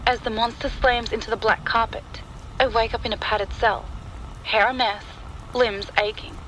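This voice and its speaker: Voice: medium pitch, normal speed, rough recording. A narrator reading a scripted first-person horror story, with rain and thunder sounds behind the voice. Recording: clear steady rain and storm noise. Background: rain and thunder sound effects.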